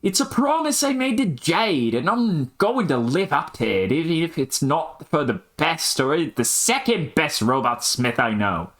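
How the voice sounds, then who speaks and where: Excitable young adventurer, played by a man: high, swooping delivery, exasperated, exclamatory, amateur drama. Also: a little slow, hardly any pauses. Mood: frustrated, earnest. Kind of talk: amateur scripted character dialogue.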